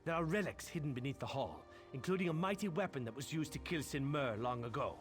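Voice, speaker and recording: A man's voice: on the high side, expressive, fast, soft background music, lightly acted. A man playing a commanding, determined warrior leader in a fantasy video game. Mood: rallying, resolute. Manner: commanding, resolute. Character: gruff, determined Nord warrior leader rallying his troops. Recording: clearly noisy game audio with ambience.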